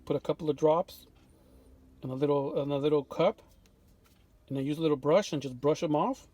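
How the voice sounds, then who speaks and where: Guy in his workshop walking thru a radio rebuild, kinda high voice, dry close sound, lots of ums. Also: brisk, dry recording. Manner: relaxed, unscripted, with fillers and restarts. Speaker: vintage radio restorer. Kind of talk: casual show-and-tell workshop video.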